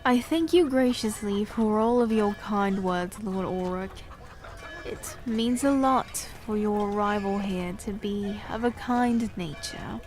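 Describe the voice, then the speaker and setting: A woman's voice: mid-range voice, a little mellow, natural voice. Poised, formal queen, played by a woman. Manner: measured, formal and gracious, coolly reserved. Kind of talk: audio drama acting. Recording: music bed under the voice.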